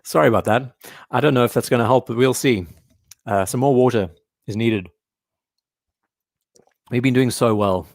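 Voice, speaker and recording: A young man hosting a live watch show from home in casual commentary, his voice hoarse and strained, often clearing his throat. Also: mid-range voice, normal speed, long pauses, no echo, studio quality.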